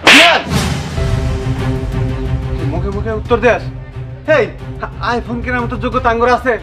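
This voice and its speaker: A man's voice: extremely high pitch, expressive, normal speed, loud music behind the voice. A man voicing an exasperated, poor labourer father in a cartoon drama, with a Bangladeshi accent and a complaining, scolding manner. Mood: furious scolding. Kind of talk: cartoon comedy drama dialogue.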